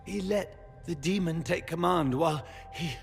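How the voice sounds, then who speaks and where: Gravelly, pained, dying young knight. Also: male voice, on the high side. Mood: bitter. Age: young adult. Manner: halting, strained. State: pained, weak, struggling for breath. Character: dying young knight, tormented, betrayed, pleading. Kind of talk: dramatic video game cutscene acting. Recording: long reverb with music behind.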